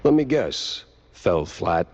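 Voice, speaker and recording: A man playing a world-weary hardboiled mouse private detective in a noir cartoon game, deadpan and wry. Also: deep voice, slow pace, highs cut off, crowd noise, heavily acted. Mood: dry, impatient.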